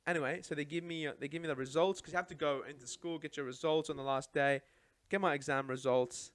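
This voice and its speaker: A young man from Iraq whose first language is Arabic, recounting his life story as a podcast guest in a studio, chatty and animated, often adding "right?". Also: on the high side, fast, no echo. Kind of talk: casual storytelling chat.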